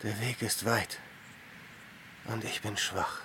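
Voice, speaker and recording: An actor in a radio drama playing an ascetic hermit saint, fervent and full of doubt, with anguished delivery that swings between murmur and outburst. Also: male voice, lowish voice, little pitch movement, takes it slowly, pushed and bright, a little hiss or hum. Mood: despairing, weak. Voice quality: husky, breaks into whispers.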